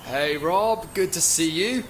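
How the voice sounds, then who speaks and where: A man's voice: a little high, takes it slowly, background noise. A man playing a breezy, evasive acquaintance in casual audio drama dialogue.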